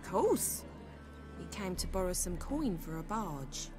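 A woman's voice: fairly low pitch, sing-song, unhurried, over music. A woman acting a naive, gushing young woman smitten with a bard in a video game, dreamy and chatty. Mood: dreamy, guileless.

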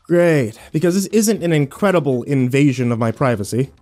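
A man's voice: on the high side, expressive, moderate pace, warm tone, soft background music, lightly acted. A man playing a weary, sarcastic young survivor who narrates and talks in an informal first-person audio drama. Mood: tense, sarcastic. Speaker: actor and narrator.

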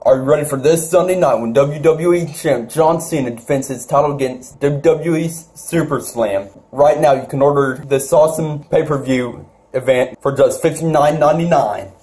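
A young man playing a pushy prank caller who delivers relentless wrestling pay-per-view sales pitches. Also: normal speed, few pauses, a little mellow, natural voice. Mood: hyped, teasing. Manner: relentless, promotional.

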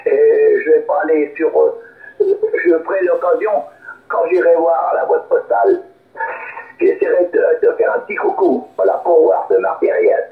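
A man chatting casually over a radio link as an amateur operator, rambling and halting. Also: on the high side, expressive, creaky. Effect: radio transmission. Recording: phone-like radio signal, echoey.

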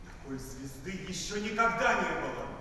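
Heard from far off in a big reverberant theatre, a man performs a wise stargazer king in a Christmas pageant. His voice is high for a man and solemn, declaiming slowly and reverently. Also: lively intonation, sharp tone, full character voice. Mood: awed, solemn. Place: on stage in a large theatre. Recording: distant mics in cavernous reverberant theatre, faint noise.

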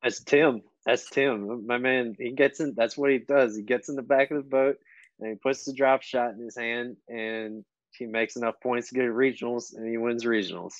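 A man's voice: mid-range voice, steady tone, normal speed, no echo. A man chatting casually as a podcast guest, laid-back and slangy, often repeating himself. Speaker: podcast guest, tournament angler. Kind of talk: casual chat. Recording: dull, lo-fi call audio.

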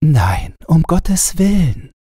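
A man voicing a tiny, lively, mischievous fairy princess in a storybook, exclaiming playfully. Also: a little high, slow pace, slightly rough, no echo, clean studio sound, voice pushed up, exaggerated voice. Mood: playful alarm.

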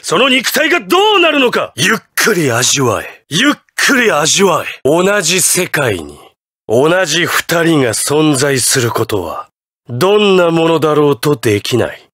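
An actor playing an imperious, ruthless patriotic president in a Japanese anime fighting game, with grandiose, theatrical declarations. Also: male voice, fairly high pitch, big pitch swings, measured pace, fairly bright tone, husky, dry recording, studio quality, heavily acted. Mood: commanding, solemn.

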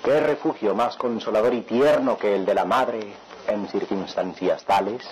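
A man's voice: lowish voice, normal speed, very noisy, lightly acted. A man narrating a radionovela in a solemn, theatrical, melodramatic style. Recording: radio drama under loud music.

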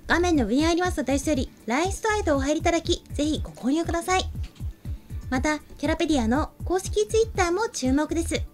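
A woman's voice: high-pitched, expressive, quick pace. A young woman co-hosting a show from a desk microphone in a small studio, moving between casual chat and scripted announcements. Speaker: show co-host. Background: faint background music.

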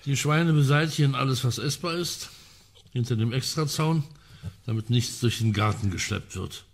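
A man acting in a German radio crime drama as a gruff, sardonic colonel who muses aloud emphatically. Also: mid-range voice, moderate pace, natural voice. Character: gruff, sardonic male colonel in an amateur sleuthing trio.

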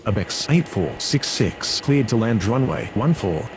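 Actor doing guy air traffic radio voice for a game, clipped phrases, up and down pitch, super noisy. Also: expressive, measured pace. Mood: businesslike. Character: businesslike man on air traffic control radio. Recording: loud aircraft ambience and noise.

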